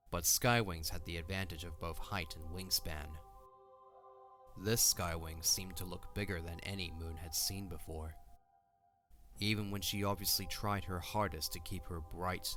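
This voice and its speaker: Deep-voiced man narrating a fantasy story. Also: a bit bright. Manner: measured storytelling. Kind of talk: scripted dramatic story narration.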